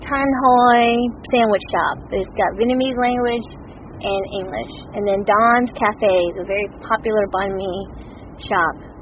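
A woman's voice: mid-range voice, measured pace, highs cut off, a little hiss or hum. A woman being interviewed, speaking conversationally with small fillers as she points things out. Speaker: sociologist interviewee. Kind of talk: casual interview talk.

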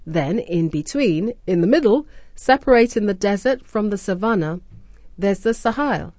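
A woman radio presenter hosting a scripted language-learning programme, explaining words and phrases clearly and deliberately. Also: medium pitch, animated, a little mellow, no echo. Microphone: close studio mic.

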